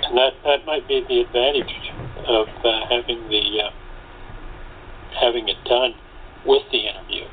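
A man chatting casually in a halting way, often restarting his phrases. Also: mid-range voice, fairly even intonation. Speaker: radio play actor. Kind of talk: casual group chat. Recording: tinny phone-like line with clear noise.

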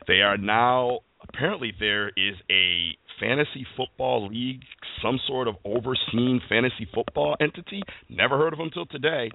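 A man hosts a casual sports talk and rambles through his points with many fillers. His voice sits somewhat low. The sound is thin and phone-like. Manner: rambling, filler-heavy, conversational. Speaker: podcast host. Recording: tinny phone-like line.

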